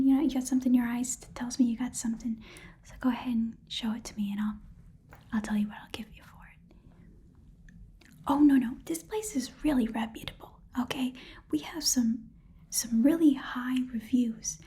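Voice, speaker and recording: A breathy, whispering goblin pawnbroker, played by a woman in an ASMR role-play. The voice sits fairly high with a soft, mellow tone. The talk is cheerful, shady and chatty, rambling along as a casual hard sell. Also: moderate pace, close to an everyday voice. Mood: eager, salesy.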